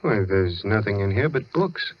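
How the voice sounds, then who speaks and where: Animated, uneasy everyman husband. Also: lowish voice, normal speed, a little hiss or hum. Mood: puzzled. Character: ordinary, uneasy husband visiting a sanitarium. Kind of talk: old-time radio thriller acting. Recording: muffled vintage radio recording.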